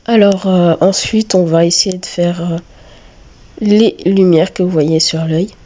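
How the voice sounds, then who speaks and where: A woman with a cold giving a step-by-step drawing tutorial, talking hesitantly with many filler pauses. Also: fairly low pitch, steady tone, mellow, dull audio. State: has a cold. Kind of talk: screen-recorded step-by-step tutorial.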